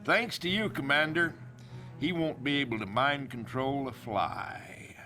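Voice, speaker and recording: An actor playing a gruff, no-nonsense general in game cutscenes, commanding and blustery, with a folksy turn of phrase. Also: male voice, mid-range voice, measured pace, loud music behind the voice, natural voice. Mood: satisfied. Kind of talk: military briefing acting in game cutscenes. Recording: clearly noisy background.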